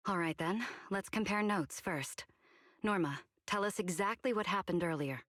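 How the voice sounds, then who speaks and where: A woman's voice: medium pitch, slight background noise, lightly acted. An actress voicing a composed female leader directing a team in a game dub. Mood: calm. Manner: calm, measured, in charge. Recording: game audio over loud music.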